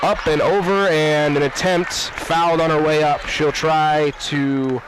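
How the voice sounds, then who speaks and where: A man's voice: fairly high pitch, fairly even intonation, measured pace. A young man calling live basketball play-by-play in clipped running phrases, raising his voice for key plays. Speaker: play-by-play announcer. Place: courtside in a basketball gym. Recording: noisy gym with loud crowd behind. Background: crowd, bouncing basketballs.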